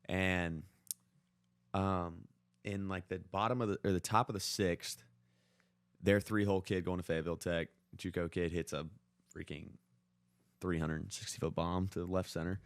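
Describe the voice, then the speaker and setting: Very low-pitched young American man chatting sports. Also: flat delivery, normal speed, long pauses, dry recording. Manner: informal, slangy, heavy use of 'like'. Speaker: podcast co-host. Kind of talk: casual sports chat, storytelling.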